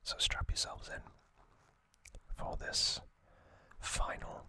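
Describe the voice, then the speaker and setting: Slow, whispering male ASMR host. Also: bright, forceful tone, dry recording. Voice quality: breathy, unvoiced whisper. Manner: slow, halting delivery in short phrases. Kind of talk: whispered ASMR trivia recap.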